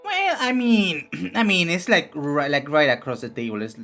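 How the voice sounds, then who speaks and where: A young man with a raspy voice streaming casually, rambling with many fillers and restarts. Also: fairly high pitch, expressive, normal speed, pushed and bright, soft background music. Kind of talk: casual live-stream chat and reading game text.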